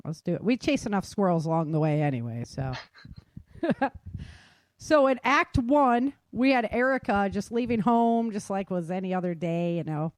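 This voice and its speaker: A woman's voice: mid-range voice, lively intonation, normal speed, dry recording. A woman hosting a podcast as its author, speaking in an informal, conversational style. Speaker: podcast host and audio drama author. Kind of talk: casual podcast chat.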